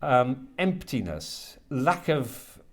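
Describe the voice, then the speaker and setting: A man with a mid-pitched voice talks as a lecturer being interviewed. He is reflective and halting, often doubling words and circling gradually toward what he means. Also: sing-song. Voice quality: hesitant, halting delivery with repeated words. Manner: thoughtful, circling toward his point. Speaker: university creative writing lecturer being interviewed.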